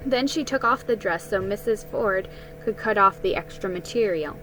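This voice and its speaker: A woman giving a dramatic reading of a children's story, careful and a little halting. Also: mid-range voice, fairly bright tone, a little hiss or hum, poor audio. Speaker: storybook reader.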